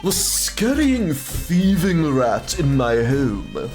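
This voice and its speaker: A man's voice: fairly high pitch, sing-song, very slow, darkish tone, full character voice. A man voicing a haughty, wealthy company boss in a mystery game, drawling and condescending, with music underneath. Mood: sneering, disdainful.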